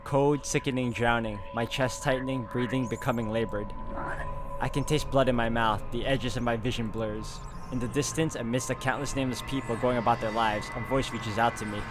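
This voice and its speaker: A man's voice: mid-range voice, steady tone, a little fast. A man doing a let's play, reading visual novel narration aloud in a dramatic storytelling way with casual asides. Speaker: gaming YouTuber. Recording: game music behind the voice. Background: guitar game music.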